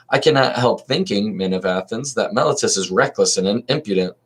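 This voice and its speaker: Man reads old philosopher defending himself at trial; deep, steady read-aloud voice. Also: close to an everyday voice. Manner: reads aloud evenly, with small restarts.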